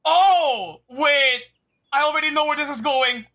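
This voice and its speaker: High-pitched, bright-voiced male streamer. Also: unhurried. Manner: excitable, blunt, swearing. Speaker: game streamer. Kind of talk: casual live reaction commentary.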